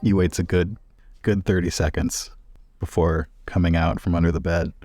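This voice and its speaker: A man's voice: low-pitched, level tone, moderate pace, mellow, dry recording, studio quality. A man playing a tabletop roleplaying game, casually describing what his character does. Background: faint music.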